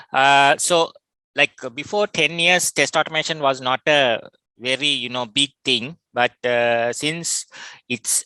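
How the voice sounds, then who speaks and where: A man with a Sri Lankan accent giving an informal technical talk in an online workshop, explaining things in a halting way. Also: mid-range voice, sharp tone, clean tone, no echo, low-quality recording. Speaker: workshop speaker, test automation specialist.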